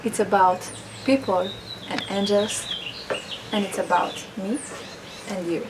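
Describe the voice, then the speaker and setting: A woman from Israel rehearsing a personal speech, halting, with restarts and non-native grammar slips. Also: medium pitch, very noisy. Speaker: public speaking student. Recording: roomy, distant mic, background ambience.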